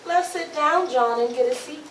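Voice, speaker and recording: A woman's voice: sits high, normal speed, close to an everyday voice. A woman playing a jealous, outspoken young woman in love in a staged play, speaking heated Southern folk dialect. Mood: sulky. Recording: roomy, distant mic, faint noise.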